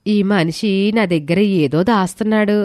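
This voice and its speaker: A woman voicing a suspicious, sharp-tongued married woman in an animated moral story. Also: medium pitch, unhurried, dry recording, clean studio sound, natural voice. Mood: accusing.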